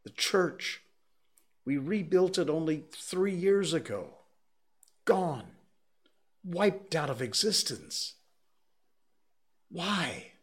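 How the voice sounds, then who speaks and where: A man doing a clergyman undone by terror, his husky voice driven high and loud. He pleads, wails and slips into doom-laden preaching, every question climbing toward despair. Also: expressive, unhurried. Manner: wailing, pleading, preaching in rising outbursts. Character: frantic, despairing male clergyman in shock.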